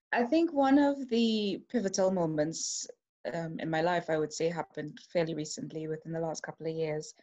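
A woman in her forties with a Trinidadian accent, speaking in a lowish, grounded voice. She talks earnestly and thoughtfully, seated at home and recorded through a laptop camera mic at close range. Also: a little mellow. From Trinidad and Tobago. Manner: earnest, reflective, gestures while talking. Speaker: interviewee sharing her story. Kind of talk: personal testimony to camera. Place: home room, seated on a sofa.

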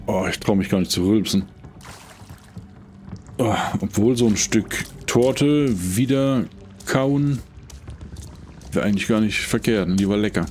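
Low, slow-talking middle-aged man. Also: a little mellow, soft background music. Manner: rambling, jokey. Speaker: game streamer. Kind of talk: casual chat while playing.

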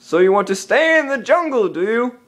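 An actor playing a stern, protective panther in an animated film, authoritative and easily exasperated. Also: male voice, extremely high pitch, normal speed, exaggerated voice. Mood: exasperated, scolding. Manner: authoritative, formal, scolding.

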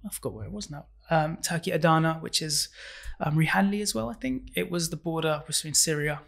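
A man's voice: a little high, brisk, creaky, a bit bright. A man, speaking openly as a podcast guest in a small studio, on a desk mic. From England. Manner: open, earnest, restarts and fillers. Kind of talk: casual interview. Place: small studio room, indoors. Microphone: desk microphone on stand.

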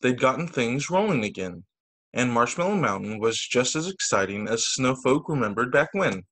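A man, a teacher, reading children's stories aloud over a video call in an expressive, sing-song storytelling way. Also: medium pitch, normal speed. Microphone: video call microphone.

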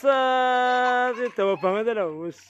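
A woman with a Javanese accent calls out teasing remarks and exclamations as she films children outdoors. She talks at a very slow, drawn-out pace. Her voice comes through dull, lo-fi phone audio. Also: a little high. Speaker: person filming children at play. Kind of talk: casual off-camera commentary. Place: outdoors on a wet open court. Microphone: phone camera held by the speaker.

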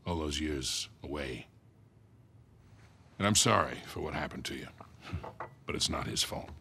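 Very deep, gruff older man. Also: measured pace, sharp tone, heavily acted. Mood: concerned. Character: gruff, loyal older male treasure-hunting mentor. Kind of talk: video game cutscene acting.

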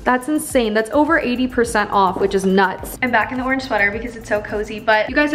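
A young woman in her twenties chatting casually to her camera, bubbly and full of "like", filming at arm's length in her apartment. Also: fairly high pitch. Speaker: vlogger. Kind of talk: casual vlog chat. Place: bright home apartment, later inside a car. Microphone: handheld camera at arm's length.

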